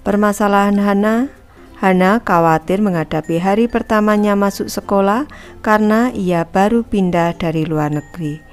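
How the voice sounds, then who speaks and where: A woman's voice: mid-range voice, normal speed. A woman teacher who reads a textbook lesson aloud to schoolchildren and explains each part patiently, speaking to the class directly. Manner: calls herself Ibu and addresses the class as anak-anak.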